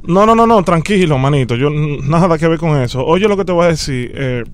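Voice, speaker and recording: High-pitched Dominican man, insistent and pleading. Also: animated, brisk. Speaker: prank caller. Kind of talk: phone prank, improvised pleading conversation.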